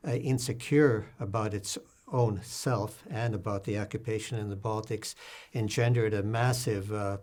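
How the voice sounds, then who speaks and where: An older man with a lowish voice talks as a guest in a public discussion. His delivery is measured and halting, and his wording is formal as he reflects on his own experience. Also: a little mellow. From United States, born in Sweden to Latvian refugees. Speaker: interview guest, political activist and lecturer.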